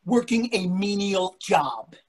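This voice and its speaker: A man's voice: sits high, lively intonation, slow, slightly rough, heavily acted. A man in his sixties playing an irritable, sarcastic husband in a readers theatre comedy over a video call. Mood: indignant. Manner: sarcastic, exasperated. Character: irritable, indignant older husband dining out.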